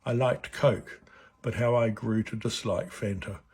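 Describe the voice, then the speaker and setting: Older NZ man, 70s, low husky voice, mild stutter, calm reflective read. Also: measured pace. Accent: New Zealand. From New Zealand. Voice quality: stutters at times. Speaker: board chair of a stuttering charity. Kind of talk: personal reflection read aloud.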